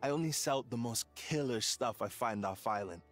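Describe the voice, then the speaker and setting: An actor voicing a laid-back, enthusiastic young surfer-dude shopkeeper in a cozy video game. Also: male voice, medium pitch, moderate pace, faint music behind, lightly acted.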